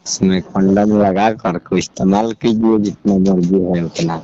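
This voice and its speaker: Mellow Bihari-accented man, muffled call. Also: sits low, steady tone, measured pace. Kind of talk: casual phone chat. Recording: dull lo-fi call recording, clear noise.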